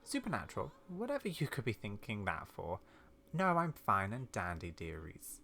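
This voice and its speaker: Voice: medium pitch, wildly swooping pitch, moderate pace, pushed and bright, pitched below the performer's own voice, full character voice. A performer voicing a kindly, unflappable little old grandmother who talks in fussy, folksy endearments. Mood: cheerful, unruffled.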